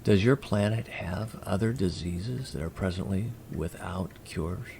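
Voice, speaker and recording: Alien emissary for sci-fi audio drama, played by a man: low, gravelly, courteous and formal, faint noise behind. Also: darkish tone. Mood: earnest, measured. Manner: formal, measured, precise wording. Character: polite, curious, formal male alien emissary.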